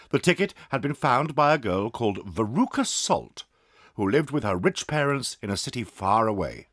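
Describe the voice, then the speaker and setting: A man narrating a dramatised children's story with expressive delivery. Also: medium pitch, no echo, studio quality.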